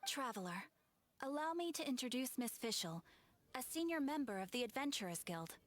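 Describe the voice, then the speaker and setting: A woman's voice: high voice, very animated, moderate pace. An actress voicing a polite, professional female guild receptionist in a video game, formal and composed.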